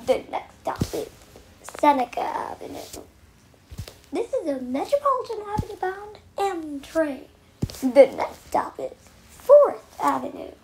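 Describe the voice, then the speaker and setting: A woman serves as a train announcer, with a very high voice that rises and falls in a lively way. She reads scripted station announcements in set, clipped phrases, slowly and over audible noise. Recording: noisy recorded train announcements.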